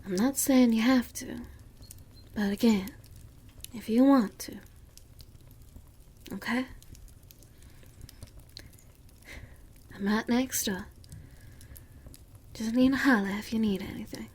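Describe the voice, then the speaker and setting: A woman playing a sweet, comforting young farmer's daughter with a Southern US accent in a gentle, folksy ASMR roleplay. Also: a little high, a little slow, pauses often, breathy edge. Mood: tender, reassuring. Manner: gentle, folksy, reassuring, giggly. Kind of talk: ASMR roleplay, intimate and slow.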